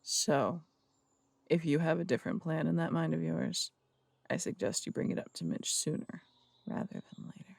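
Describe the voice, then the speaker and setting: A man's voice: a little high, moderate pace, vocal fry, no echo. A man playing a stern male detective who runs the morgue, blunt and warning in an audio drama.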